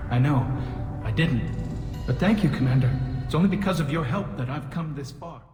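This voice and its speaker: A man's voice: fairly high pitch, moderate pace, close to an everyday voice. A man playing a shaken young male alien survivor in a video game, hesitant and awkward. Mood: nervous, grateful. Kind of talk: video game cutscene acting. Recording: echoey hall reverb, music behind.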